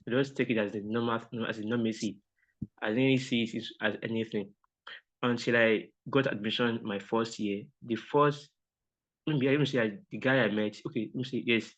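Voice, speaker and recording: A man, a mentorship participant, sharing a reflection politely and hesitantly, with many restarts. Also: mid-range voice. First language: Yoruba. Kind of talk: sharing a reflection on a video call. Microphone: online call audio. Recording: dull lo-fi call audio.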